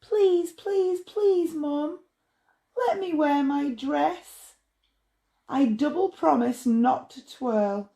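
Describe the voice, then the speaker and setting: A woman's voice: sits high, extremely slow, dark tone, reverberant, voice pushed up, full character voice. A woman voicing a spirited, stubborn little girl in an emphatic, pleading way for a storybook.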